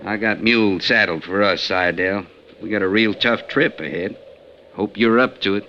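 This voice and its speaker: An actor playing a wary, bossy outlaw gang leader in an old radio western, with a folksy, menacingly polite frontier manner. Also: male voice, fairly low pitch, moderate pace, sharp tone. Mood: brisk, self-assured. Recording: dull, noisy 1950s radio recording.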